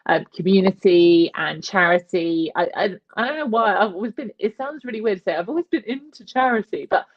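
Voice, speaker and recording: A woman's voice: mid-range voice, expressive, fairly quick, muffled recording. Chatty, self-mocking woman on a call. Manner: talkative and self-mocking, many restarts and laughs. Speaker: guest. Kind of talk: casual interview chat.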